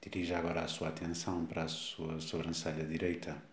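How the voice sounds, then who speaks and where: A man with a European Portuguese accent guides a body scan meditation, giving slow, calm instructions with long pauses. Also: low-pitched. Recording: echoey, reverberant room sound.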